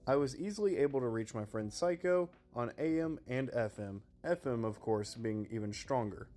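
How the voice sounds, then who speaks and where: A man's voice: medium pitch, expressive, moderate pace, warm tone. A man narrating a scripted product review in an explanatory, presenter-like way. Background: background music.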